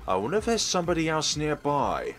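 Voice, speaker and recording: A man's voice: fairly high pitch, wildly swooping pitch, a little fast, a bit bright. A man hosting a let's play, reading a visual novel's text aloud and dropping casual asides between the lines. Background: faint game music.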